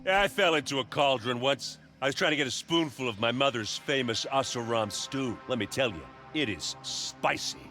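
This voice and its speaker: A man playing a boastful, food-loving adventurer in an animated game, chatty and comically self-assured. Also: mid-range voice, normal speed, few pauses, lightly acted. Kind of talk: animated video game cutscene acting. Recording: clear music behind.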